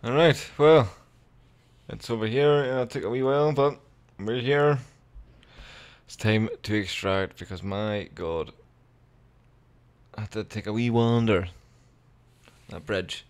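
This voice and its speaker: A man's voice: lowish voice, fairly even intonation, takes it slowly, long pauses, smooth. A man with a Scottish accent giving casual, sweary, rambling commentary as he plays a video game. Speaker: gaming video creator.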